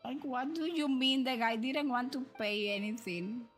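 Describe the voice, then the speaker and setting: A woman's voice: on the high side, moderate pace, fairly bright tone. Chatty woman streamer over background music. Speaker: livestream host. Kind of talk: casual gaming livestream commentary, thinking aloud.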